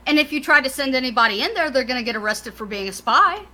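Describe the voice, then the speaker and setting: A woman's voice: high voice, lively intonation, quick pace, bright, forceful tone. A woman co-hosting a casual podcast, speaking bluntly and swearing a lot.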